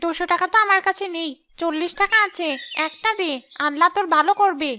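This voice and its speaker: A woman's voice: very high voice, steady tone, fast, sharp tone, a little hiss or hum. A woman voicing a poor elderly grandmother in a cartoon who pleads humbly. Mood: pleading. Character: elderly grandmother begging for her sick grandchild.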